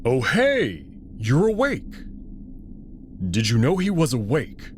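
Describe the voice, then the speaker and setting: A man puts on a big male wolf creature from a sci-fi visual novel. He is friendly yet easily exasperated, casual and exclamatory. His pitch moves around in a lively way, with faint noise on the recording. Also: takes it slowly. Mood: excited, curious.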